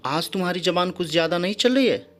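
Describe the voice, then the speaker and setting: A man's voice: high-pitched, expressive, quick pace, a bit bright. A man voicing a domineering middle-aged father in a story, with an insistent manner. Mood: angry. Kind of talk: storybook character dialogue. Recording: background music under the voice.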